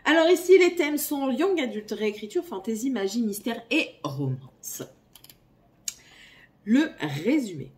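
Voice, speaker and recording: A woman talks about her reading list at home while fighting off a cold, her voice hoarse and a little rough. She sits a short way from the microphone, so she sounds slightly distant. Her delivery is chatty and apologetic. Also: a little high, big pitch swings, measured pace, darkish tone. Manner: chatty, apologetic, coughing now and then. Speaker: book YouTuber. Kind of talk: casual reading-list chat, reading blurbs aloud.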